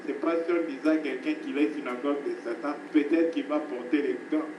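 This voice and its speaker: A man preaching with insistent repetition, his fairly high voice heard from across an echoing room. The recording is noisy and distant, with the space ringing around him. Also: steady tone, fairly quick, lo-fi sound. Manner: emphatic preaching, repeats phrases, addresses listeners as beloved. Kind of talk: sermon.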